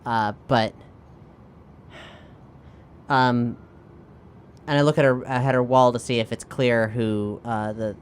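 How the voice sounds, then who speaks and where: A woman playing a tabletop role-playing game, improvising casually and thinking aloud in halting phrases. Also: a bass voice, steady tone, a little hiss or hum.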